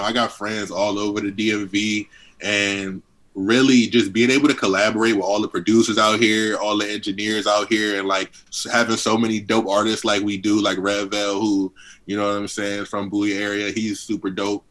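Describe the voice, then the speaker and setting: Deep-voiced young man from D.C. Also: little pitch movement, moderate pace, fairly bright tone, rough recording. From Southeast Washington, D.C. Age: 20s. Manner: laid-back, heavy on fillers, chuckles often. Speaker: guest rapper. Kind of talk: casual interview chat.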